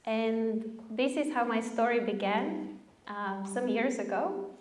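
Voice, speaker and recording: A woman's voice: on the high side, slow, darkish tone. A woman in her thirties from Bulgaria giving an enthusiastic conference talk at a podium mic in a large lecture hall, often checking in with the audience. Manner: enthusiastic, chatty, asks the audience with "right?" and "okay?". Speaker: speaker. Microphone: podium mic on a stand. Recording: roomy hall sound.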